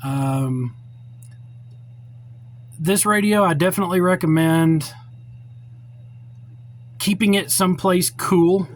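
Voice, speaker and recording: A man's voice: fairly high pitch, slight background noise. A man giving an informal hands-on technical walkthrough as a tutorial presenter, talking in a folksy, blunt and opinionated way. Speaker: presenter of a radio repair tutorial.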